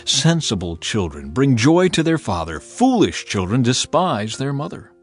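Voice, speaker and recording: A man reading scripture as a dramatized audiobook narrator, with a solemn, measured and expressive delivery. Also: mid-range voice, husky, soft background music.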